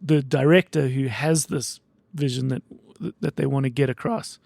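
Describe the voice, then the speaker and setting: A man from South Africa hosting a casual podcast interview, chatty and quick to agree. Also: mid-range voice, fairly even intonation, vocal fry, darkish tone, no echo. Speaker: podcast host.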